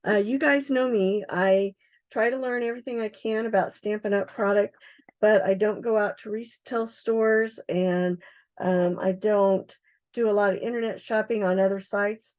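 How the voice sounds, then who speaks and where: A middle-aged woman with a hoarse, froggy voice, chatting casually as she demonstrates craft supplies on a livestream, with many ums and uhs. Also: medium pitch, steady tone, normal speed, darkish tone. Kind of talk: casual live product demonstration.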